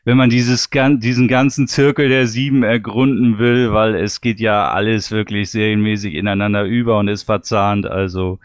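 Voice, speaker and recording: A man's voice: lowish voice, fairly even intonation, moderate pace, hardly any pauses, smooth, no room sound. A man co-hosting a casual panel chat about audio dramas, talking enthusiastically and leaning on emphatic words. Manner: enthusiastic, often repeats "wirklich". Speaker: podcast host.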